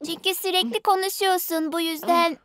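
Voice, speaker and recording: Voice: extremely high pitch, fairly even intonation, normal speed, fairly bright tone, dry recording, clean studio sound. An actor voicing a cheeky, playful little sister in a dubbed children's cartoon, with a cute manner. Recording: music behind the voice.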